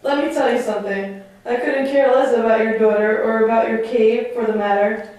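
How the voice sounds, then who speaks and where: A woman acts out a defiant enslaved island savage for a puppet show, in a fairly high voice. The delivery is declamatory and taunting, full of bitterness. It is heard at a distance in a very reverberant room. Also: steady tone, moderate pace, a little mellow, close to an everyday voice. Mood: bitter, taunting. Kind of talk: theatrical puppet show dialogue. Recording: very echoey room, distant mic.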